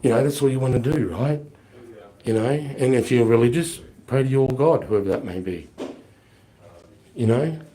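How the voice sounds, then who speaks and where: A man's voice: mid-range voice, moderate pace, long pauses, warm tone, low-quality recording. A man, rambling bluntly and swearing as he answers viewer questions on a live stream, his voice a little off from a flu. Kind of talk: casual live Q&A chat.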